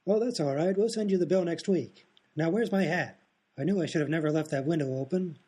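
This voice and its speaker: A man's voice: fairly high pitch, a little fast, mellow, husky. A man playing an absent-minded, oblivious hospital doctor who rambles matter-of-factly in an absurd radio comedy sketch. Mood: oblivious, breezy. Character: daft, absent-minded male hospital doctor, cheerfully off-topic.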